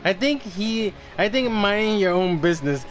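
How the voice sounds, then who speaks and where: A man's voice: high-pitched, expressive, normal speed, soft background music. A man streaming a game with casual live commentary.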